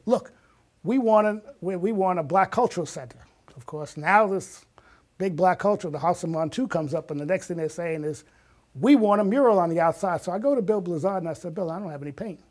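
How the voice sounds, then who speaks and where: A man's voice: sits high, brisk. A man in his sixties telling personal stories in conversation as an interview guest, acting out bits of remembered dialogue. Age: 60s.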